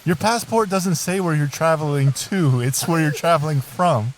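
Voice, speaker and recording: A man's voice: a little high, normal speed, lightly acted, rough recording. A man playing a patient magical tree that guards a forest, talking casually and hesitantly in improvised audio comedy. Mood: incredulous. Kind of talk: improvised comic audio drama. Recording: background noise with chirping crickets.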